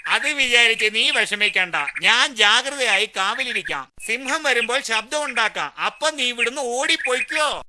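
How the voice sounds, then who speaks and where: A woman voicing a sly, clever jackal with a coaxing, reassuring manner in an animated children's story. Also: fairly high pitch, normal speed.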